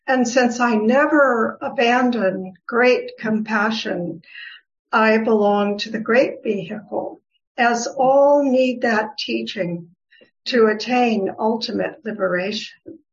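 A woman reading the part of a serene, wise goddess who gently teases, in a formal scripture reading with deliberate delivery. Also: a little high. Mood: serene, instructive. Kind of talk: dramatic reading of Buddhist scripture. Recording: dull, lo-fi recording.

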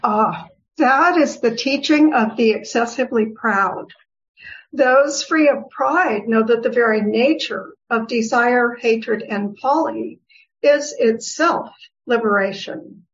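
A woman reading the part of a serene, wise goddess who gently teases, in a formal scripture reading with deliberate delivery. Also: a little high. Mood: serene, instructive. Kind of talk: dramatic reading of Buddhist scripture. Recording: dull, lo-fi recording.

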